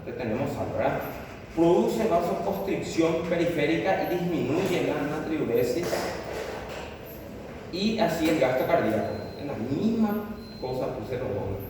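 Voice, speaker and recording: Distant lecturer in an echoey classroom. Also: male voice, fairly high pitch, expressive, unhurried, noisy background. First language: Spanish. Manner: explanatory, frequent tag questions. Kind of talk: classroom lecture reviewing exam questions. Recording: roomy classroom audio from a distant mic.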